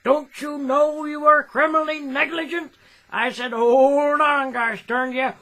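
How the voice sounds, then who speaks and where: High, bright old country farmer, rural American accent, hearty comic storyteller, gullible. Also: male voice, level tone, measured pace, full character voice. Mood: indignant. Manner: loud, hearty, old-time comic monologue with chuckles. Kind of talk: 1900s phonograph comedy monologue acting.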